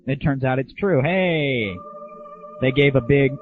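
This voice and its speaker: A man's voice: mid-range voice, unhurried, faint music behind. Man ranting on thin, phone-like lo-fi audio. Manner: crude, sarcastic, exaggerated griping. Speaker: host of a gaming audio show. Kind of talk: informal solo rant and commentary. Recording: dull lo-fi 1990s internet audio, phone-like.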